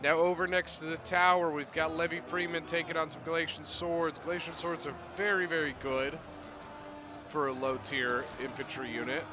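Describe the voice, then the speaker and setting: Man, game battle commentator, slightly higher pitch, voice a bit off after illness, chatty, excitable. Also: normal speed, over music. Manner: chatty, excitable, bursts of loud exclamation. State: voice a little off from recent illness.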